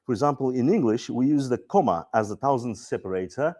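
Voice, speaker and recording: A man with a Bulgarian accent walks an audience through software features at a user conference. His pitch sits in the middle of the range. He speaks in a relaxed way, with dry asides and plenty of "uh"s and restarts. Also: brisk. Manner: relaxed, dry humor, frequent restarts and fillers. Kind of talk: conference presentation.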